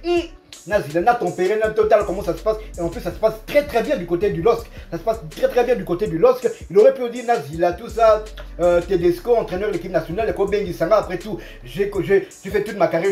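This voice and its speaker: High-voiced Congolese football pundit, male. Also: a little mellow. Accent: Congolese French. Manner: emphatic, repeats phrases. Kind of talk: animated casual sports discussion.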